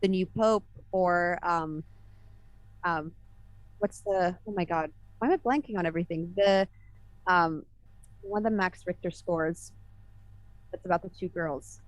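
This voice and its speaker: A woman composer from Italy, though she carries no Italian accent, talks through her career in a loose, rambling way with plenty of "um"s and restarts. Her voice sits a little low, and the recording is close and dry with no room sound. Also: measured pace, pauses often, dry recording, rough recording. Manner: chatty, many fillers and self-corrections. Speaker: guest, film composer. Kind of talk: casual interview answers.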